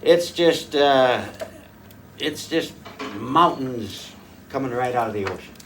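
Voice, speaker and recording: An older man, a folk fiddler, casually telling family stories to a small audience in a rambling, folksy way with many pauses and restarts. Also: mid-range voice. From rural northwest North Dakota. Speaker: folk fiddler giving a talk. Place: room at a folk fiddlers' gathering. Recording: distant mic, faint room noise.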